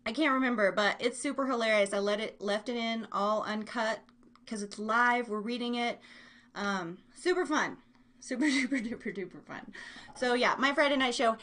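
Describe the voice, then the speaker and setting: Female podcast host, higher-pitched voice, chatty and rambling, playful and self-mocking, talking off the cuff to live listeners. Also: moderate pace, a bit bright. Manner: playful exaggeration and silly made-up words. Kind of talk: casual live chat show, talking to listeners in chat.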